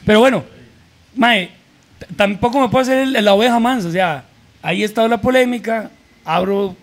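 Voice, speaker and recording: Costa Rican man in his 40s, high voice, animated, slangy podcast storyteller at a table mic. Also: brisk. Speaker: guest. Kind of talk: casual podcast chat. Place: small room with a mic on a table. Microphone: studio mic with headphones.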